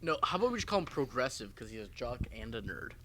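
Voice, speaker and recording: Brash, very animated young man. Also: medium pitch, fast, slight background noise. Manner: brash, crude, joking. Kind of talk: casual banter among friends.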